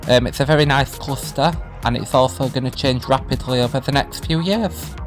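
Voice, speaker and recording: A man's voice: medium pitch, a little fast. A man with a cold, chatting casually as he walks outdoors, saying um often. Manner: chatty, enthusiastic, filler-heavy. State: has a cold, sniffling. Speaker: vlogger. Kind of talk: casual walking vlog. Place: outdoors in a city park. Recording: music playing underneath.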